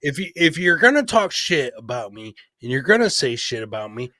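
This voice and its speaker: A man's voice: mid-range voice, big pitch swings, brisk, sharp tone, no room sound, studio quality. A man hosting a casual podcast, talking bluntly and swearing freely like he is among buddies. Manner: blunt, profane, buddy-like talk. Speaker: podcast host.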